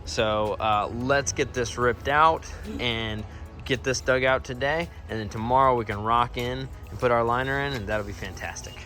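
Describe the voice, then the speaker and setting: A man's voice: mid-range voice, normal speed, pushed and bright, soft background music. A man giving an upbeat, informal walkthrough as a builder hosting a project video outdoors. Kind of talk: casual on-site project walkthrough. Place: outdoors at a backyard pond construction site. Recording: noisy outdoor site, vehicle and ambient noise.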